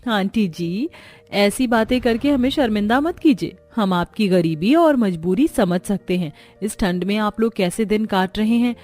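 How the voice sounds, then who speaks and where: Cartoon village daughter-in-law, acted by a woman: earnest, caring, dramatized delivery, mid pitch over background music. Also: darkish tone. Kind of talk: Hindi cartoon story dialogue.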